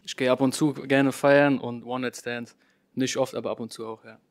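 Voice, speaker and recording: Casting: young man, mid pitch, level and matter-of-fact. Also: steady tone, a little fast. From Hanau. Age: 20s. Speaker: contestant.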